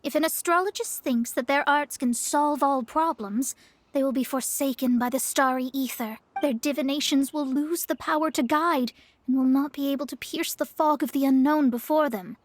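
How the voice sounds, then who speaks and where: A woman's voice: sits high, normal speed, natural voice. A woman playing a proud, self-assured young female astrologer in a video game, speaking in a lofty, formal way. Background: background music.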